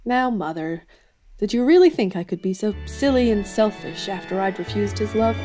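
A woman's voice: medium pitch, animated, moderate pace, warm tone, soft background music, natural voice. An actress playing an earnest, self-doubting young woman writer in an audio drama, frank in manner. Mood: frank, affectionate. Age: young adult.

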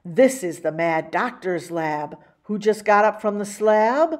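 A woman's voice: mid-range voice, unhurried, a little mellow. Theatrical woman reading a spooky picture book. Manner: theatrical, with exclamations and spooky sound effects. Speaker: library story-time reader. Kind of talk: animated picture book storytelling.

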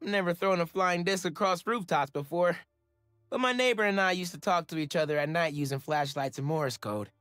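An actor playing an upbeat, earnest teenage superhero from New York with an American accent, chatting casually in a video game. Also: male voice, high voice, a little fast, fairly bright tone, clean tone, no room sound, studio quality, faint music behind. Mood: fond. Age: young adult. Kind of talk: game voice acting, casual reflection.

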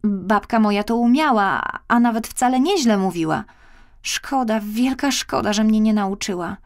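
A woman's voice: mid-range voice, moderate pace, pitched above the performer's own voice, natural voice. A woman voicing an earnest, sweet little girl in a children's audiobook, speaking eagerly and plaintively. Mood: wistful. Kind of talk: children's audiobook character acting.

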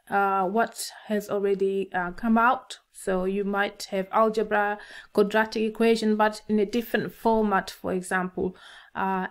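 A middle-aged woman from Tanzania with a Tanzanian accent, talking to students as an encouraging maths teacher. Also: medium pitch. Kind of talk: instructional talk to camera for students.

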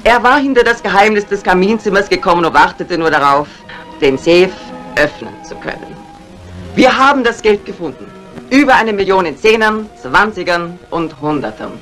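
An older woman acting a shrewd, composed crime writer in a 1950s radio mystery, speaking with deliberate, theatrical phrasing. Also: medium pitch, expressive, a bit bright, close to an everyday voice. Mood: grave, revealing. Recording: vintage radio recording with music.

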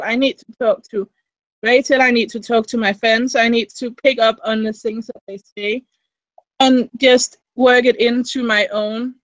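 A woman's voice: a little high, a bit bright, no room sound, muffled recording. A young woman from Denmark with a Danish accent, speaking English as her second language, rambling with many restarts in a casual interview over a video call. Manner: rambling, frequent restarts and fillers. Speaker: interviewee, fan fiction writer. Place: small indoor room. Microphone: webcam mic on a video call.

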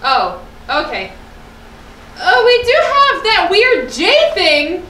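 A worried young puppet sailor, played by a woman, grumbles slowly and with exaggeration. The pitch is extremely high and swings widely. It is a children's puppet show picked up in an echoey room. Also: wildly swooping pitch, sharp tone, exaggerated voice. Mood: doubtful. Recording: roomy echo, slightly distant mic, faint noise.

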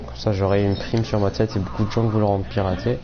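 A man's voice: fairly low pitch, level tone, quick pace. A young man with a cold, casually commenting on his game as he plays, rambling with many fillers. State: has a cold, voice a bit off. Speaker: gaming streamer. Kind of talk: casual let's play commentary. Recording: muffled mic with clear background noise.

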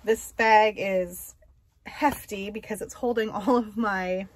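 A woman vlogger chatting casually about her stitching projects, soft and unhurried, with many ums and pauses and light self-deprecating humor. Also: medium pitch, fairly even intonation. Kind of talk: casual show-and-tell chat.

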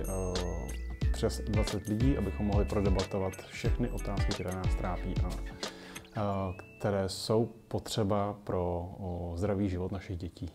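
A man in his forties from the Czech Republic, talking unscripted to camera in a halting way with many hesitant pauses. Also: lowish voice. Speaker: children's group founder and carer. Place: small colourful indoor children's playroom.

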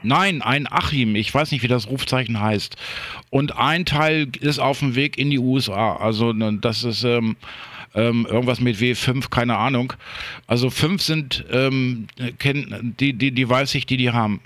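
A man with a medium-pitched voice talks casually as a ham radio operator. His delivery is halting, with repeated words, and the sound is dry and close. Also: a bit bright, no room sound. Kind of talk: casual unscripted radio chat.

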